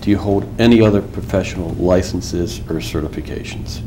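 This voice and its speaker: A man questioning a witness as an attorney in a formal deposition, measured with filler pauses. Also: low voice, noisy background.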